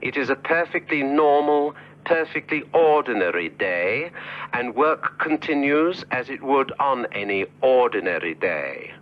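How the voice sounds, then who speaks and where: An actor playing a hypnotic voice on a dictaphone, soothing and deliberately repetitive, in a spy radio drama. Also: male voice, mid-range voice, close to an everyday voice. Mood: hypnotic, calm. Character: measured male voice on a hypnotic dictaphone recording. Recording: dull old radio drama recording.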